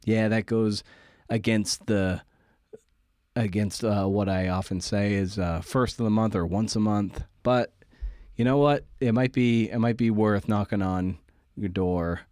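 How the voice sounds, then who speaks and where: A man hosting a podcast in a casual, confessional monologue, halting and self-deprecating, with casual swearing. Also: lowish voice, darkish tone, no room sound, clean studio sound. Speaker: podcast host. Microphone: close podcast microphone.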